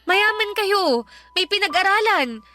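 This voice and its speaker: A shy, high-voiced young housemaid. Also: female voice, expressive, measured pace, sharp tone, full character voice. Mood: pleading, refusing. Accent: Filipino. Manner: hesitant, deferential. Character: shy, humble nineteen-year-old housemaid, self-doubting and deferential. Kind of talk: Filipino radio drama acting. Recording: music behind.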